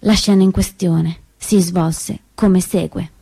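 A woman performing scripted theatrical storytelling, with dramatic, emphatic and deliberate phrasing that moves between hushed and declamatory. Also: lowish voice, fairly even intonation, vocal fry. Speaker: solo theatre performer and narrator. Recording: roomy stage sound, faint music behind.

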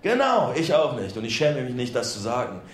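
An actor playing a middle-aged, plain-spoken townsman, a humble and reluctant political candidate giving a halting, earnest speech in a radio drama. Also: medium pitch, huge pitch swings. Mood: mocking, amused. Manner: halting, unpolished, earnest public speaking. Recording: echoey public hall sound.

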